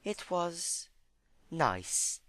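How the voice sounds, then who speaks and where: A man plays a gentle, timid female pony in a dramatic story reading. The voice is raised well up in pitch, soft and earnest, with hesitant phrasing. It sounds dry and close. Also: sing-song, drawn-out words, no room sound, heavily acted. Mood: nervous. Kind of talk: dramatic fan-fiction reading.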